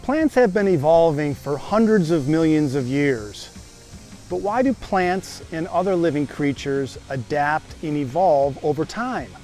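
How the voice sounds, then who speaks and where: A man hosting a gardening show, giving steady, scripted, explanatory narration. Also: a little high, measured pace, soft background music.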